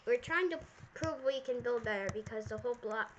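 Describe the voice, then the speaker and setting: A woman's voice: fairly high pitch, fairly quick, highs cut off. A young girl chatting in roleplay while hosting a gaming video. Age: child. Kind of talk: roleplay chat while playing a game. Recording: loud noise and clicks behind voice.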